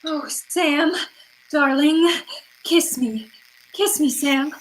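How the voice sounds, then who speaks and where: Woman as very high, pleading femme fatale. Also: drawn-out words, low-quality recording. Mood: pleading, amorous. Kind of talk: old-time radio drama acting.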